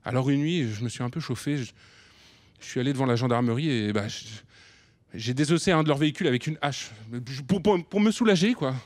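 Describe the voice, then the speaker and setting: Actor as a hesitant, flustered delivery rider. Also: male voice, mid-range voice, animated, fairly quick, darkish tone, lightly acted. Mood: flustered, defensive. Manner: hesitant, stammering, self-justifying. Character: sheepish, flustered delivery rider justifying his vandalism. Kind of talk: radio drama, naturalistic confession.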